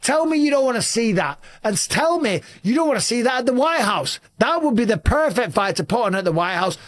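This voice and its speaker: A middle-aged man with an English accent, hosting a fast, shouty commentary monologue, his voice a little raspy. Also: extremely high pitch, big pitch swings, dry recording, studio quality. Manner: talks very fast and shouts a lot. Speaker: host.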